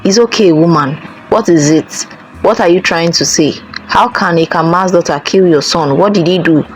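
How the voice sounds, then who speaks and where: A woman's voice: lowish voice, normal speed, slight background noise, natural voice. A woman voicing a stern village king who fires off questions and gives orders. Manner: demanding, questioning.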